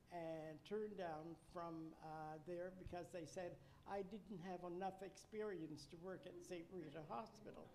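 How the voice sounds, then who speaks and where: Older woman from Nova Scotia, unhurried reflective storyteller, dry asides, faint hiss behind. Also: medium pitch, dark tone, poor audio. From Nova Scotia, Canada. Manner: measured, reflective, with wry asides. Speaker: interviewee, retired nurse educator.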